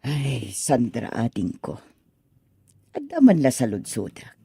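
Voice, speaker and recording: A woman playing a gentle, concerned older aunt in a radio drama, consoling and softly chiding. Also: extremely low pitch, huge pitch swings, takes it slowly, gravelly, husky, no room sound, clean studio sound, heavily acted. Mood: gently reproachful. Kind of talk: Filipino radio drama acting.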